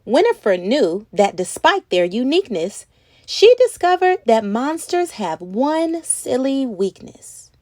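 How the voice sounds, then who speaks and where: A woman host reads a children's storybook aloud with a high voice that swings widely in pitch. Her delivery is slow and theatrical, acting out words and sounds for young listeners. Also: no room sound. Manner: animated, acts out words and sound effects. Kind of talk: expressive children's storybook narration.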